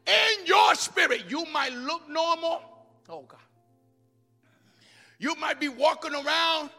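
High, bright-voiced shouting preacher. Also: male voice. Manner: alternates shouting with quiet fast asides. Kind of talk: sermon. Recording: music under voice in a long-reverb hall.

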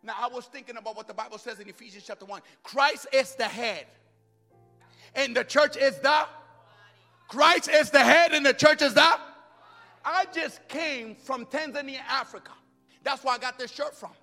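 A man delivers a sermon in a very high, bright voice. He drops into rapid, quieter asides, then climbs back into shouting. He is heard in a long-reverb hall with soft music underneath. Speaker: preacher.

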